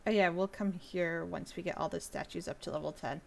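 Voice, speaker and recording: A woman's voice: on the low side, brisk, creaky, a little mellow. A woman giving casual live commentary on a video game, thinking aloud as she plays. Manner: thinks aloud while playing. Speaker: game streamer. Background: game audio with faint music.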